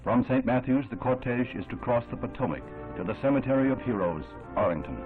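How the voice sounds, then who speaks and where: A man's voice: medium pitch, animated, a little fast. Old 60s newsreel guy, american, grave formal dramatic delivery, tinny sound, music behind him. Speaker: newsreel narrator. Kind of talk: 1960s newsreel scripted narration. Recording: tinny band-limited 1960s newsreel soundtrack. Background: loud music.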